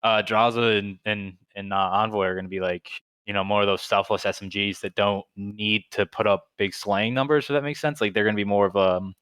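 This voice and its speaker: A man's voice: fairly low pitch, fairly even intonation, fairly quick, few pauses, a bit bright, smooth, dry recording. A man co-hosting a podcast, chatting casually and analyzing the game with lots of "like" and "you know". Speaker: podcast co-host.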